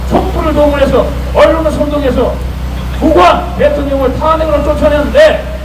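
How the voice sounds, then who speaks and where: A man giving a political protest speech in an emphatic, oratorical way with deliberate phrasing. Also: very high-pitched, steady tone. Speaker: political rally speaker. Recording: echoey, noisy street PA, distant mic.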